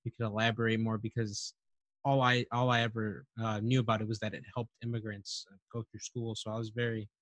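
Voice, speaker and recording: A man's voice: on the low side, level tone, brisk, fairly bright tone, no echo. Young man, chatty friendly interviewer. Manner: friendly, rambling questions, says "dude" and "man". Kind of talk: casual interview.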